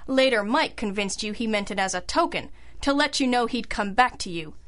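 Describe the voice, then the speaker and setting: An actress playing a composed, sharp female detective in an old-style radio drama, matter-of-fact, knowing and wry. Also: fairly high pitch, lively intonation, moderate pace. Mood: calm, firm.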